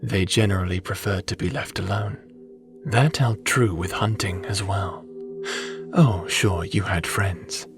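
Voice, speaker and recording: A man playing a calm, matter-of-fact advisor in a fantasy audio drama, speaking formally and without much emotion. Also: sits low, music in the background. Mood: explanatory. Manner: measured, formal, unemotional.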